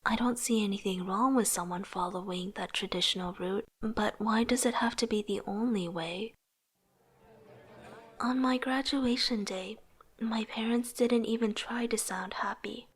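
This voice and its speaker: A young woman playing an earnest, struggling artist in a motion comic, narrating introspectively in a soft, breathy voice. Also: on the high side, a little slow. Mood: reflective, weary. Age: young adult. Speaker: narrating lead character. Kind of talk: scripted first-person narration.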